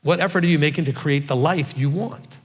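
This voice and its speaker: A man's voice: on the high side, fairly quick, long reverb tail. A middle-aged man giving an informal Bible teaching, emphatic and often checking in with "okay?". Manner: emphatic, repeats "okay?" and "all right?".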